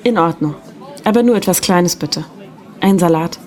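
A woman playing a friendly, gently teasing secretary in an audio drama. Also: fairly low pitch, moderate pace, natural voice. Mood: friendly, modest. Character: friendly, gently teasing young female secretary, slightly mysterious. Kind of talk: German audio drama dialogue.

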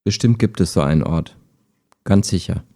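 Low, mellow man acting a wistful chatbot. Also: normal speed. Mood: pensive. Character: wistful, skeptical male chatbot talking like a human. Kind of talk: intimate German radio play dialogue.

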